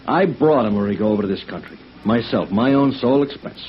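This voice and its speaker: A man's voice: on the low side, lively intonation, normal speed, lo-fi sound, close to an everyday voice. Man acting a shifty talent agent, blustering, defensive, stammering slangy talk, noisy vintage radio recording. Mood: self-pitying. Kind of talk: 1950s radio noir acting.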